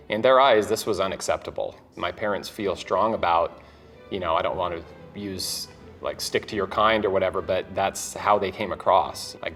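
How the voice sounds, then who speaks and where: Deep-voiced man, frank and casual, couples game, soft music underneath. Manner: frank, laughs off awkward truths. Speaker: partner in a couples question game. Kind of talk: candid casual conversation.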